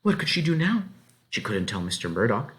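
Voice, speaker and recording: A man reading a children's novel aloud as narrator, in an expressive, dramatic way. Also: mid-range voice, brisk. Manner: dramatic, animated. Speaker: story reader.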